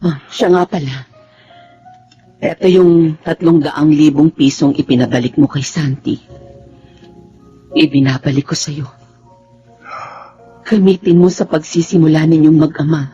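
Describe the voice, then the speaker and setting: A man plays a solemn, forgiving relative in a radio drama. His voice is fairly high and mellow, with faint music and dulled sound. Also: measured pace, long pauses, muffled recording, close to an everyday voice. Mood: solemn, grateful. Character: solemn, forgiving man making peace with family. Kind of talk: Tagalog radio drama acting.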